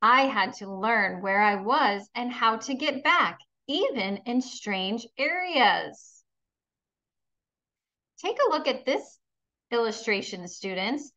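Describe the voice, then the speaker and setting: A woman's voice: fairly high pitch, animated, slow pace, sharp tone, lo-fi sound. A woman teaching kindergarten in a read-aloud lesson, encouraging and instructional as she addresses young pupils.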